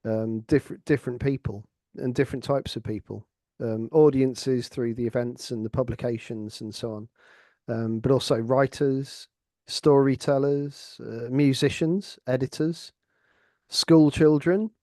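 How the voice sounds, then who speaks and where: Middle-aged man, English accent, medium pitch, warm dark tone, conversational researcher, earnest, word-repeating. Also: fairly even intonation, dry recording, studio quality. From Lincolnshire, England. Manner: earnest, halting, repeats words. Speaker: academic researcher and co-host. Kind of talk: reflective conversational discussion.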